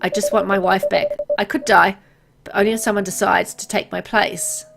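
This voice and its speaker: A woman voicing an embittered, world-weary immortal man in a visual novel, explaining things gravely. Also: medium pitch, fairly even intonation, normal speed, natural voice. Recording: loud music behind voice.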